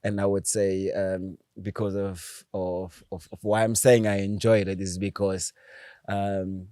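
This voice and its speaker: Low-voiced South African man. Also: little pitch movement, dark tone, dry recording, clean studio sound. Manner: halting, repeats words while recalling. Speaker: podcast guest, former footballer. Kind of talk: casual interview chat.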